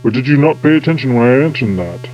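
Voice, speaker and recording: A man's voice: mid-range voice, expressive, fast, lo-fi sound, a little hiss or hum, lightly acted. A man playing an eccentric, self-important time-travelling scientist in an audio drama, pompous and absent-minded. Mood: dry, superior.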